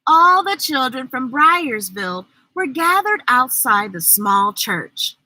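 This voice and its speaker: A woman reading a children's story aloud, expressive and deliberate, adding her own asides. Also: high-pitched, no room sound, low-quality recording. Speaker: storyteller, host.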